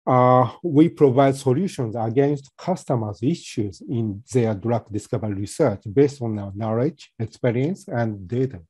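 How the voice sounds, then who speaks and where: Halting soft-spoken man presenting. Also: mid-range voice, husky, dry recording. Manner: halting, many fillers and restarts. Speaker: company presenter. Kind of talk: webinar presentation.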